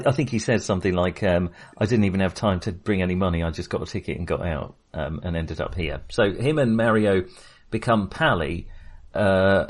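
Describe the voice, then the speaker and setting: A man co-hosting a podcast, casually discussing films in halting, hesitant phrases. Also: fairly low pitch. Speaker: podcast co-host.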